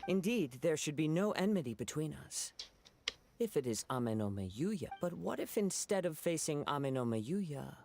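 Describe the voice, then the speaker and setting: An actor playing a calm, perceptive young wandering swordsman in a video game, measured, formal and thoughtful, on slightly noisy stream audio. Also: fairly high pitch, vocal fry. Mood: calm, assured.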